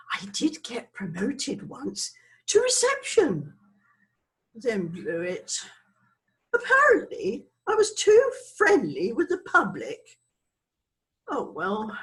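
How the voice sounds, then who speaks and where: A woman's voice: fairly high pitch, heavily acted. An actress playing a chatty, frivolous older British woman who rambles through her memories in a theatrical dramatic monologue. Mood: defensive, breezy. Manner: rambling, frivolous, digressive, self-excusing. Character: chatty, scatty older Englishwoman named Isabella, reminiscing.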